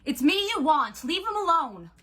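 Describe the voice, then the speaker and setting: A woman voicing an anguished, defiant teenage boy in a storybook with tense, forceful outbursts. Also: high voice, sing-song, normal speed, voice pushed up, heavily acted. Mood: desperate yelling.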